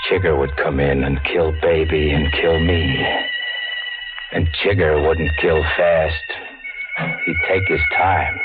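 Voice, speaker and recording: A tough, jittery hoodlum. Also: male voice, low-pitched, exaggerated voice. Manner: tough, clipped street talk. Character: scared, hard-bitten male small-time crook. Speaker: narrator and lead character. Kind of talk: 1940s radio acting. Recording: tinny old radio broadcast with music.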